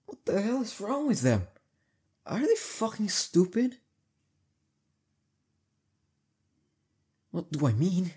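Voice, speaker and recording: A young man acting as a heartbreaker friend in an intimate roleplay audio, talking casually and haltingly with emphasis and a soft husky edge. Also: high-pitched, very animated, exaggerated voice. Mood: indignant, adoring.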